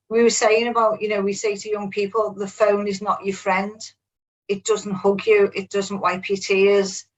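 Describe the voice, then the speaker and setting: A middle-aged woman contributes to a group discussion. Her pitch is average and stays quite flat, and she comes across as earnest and plain-spoken. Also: low-quality recording. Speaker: manager taking part in a webinar. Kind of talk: sharing reflections in a group discussion. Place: online video call.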